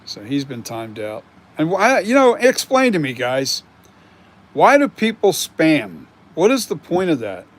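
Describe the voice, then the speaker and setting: A man's voice: on the high side, huge pitch swings, a little slow. A man in his sixties chatting casually on a stream, rambling and candid, with the odd swear word. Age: 60s. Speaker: mask and prop maker. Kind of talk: casual live-stream chat.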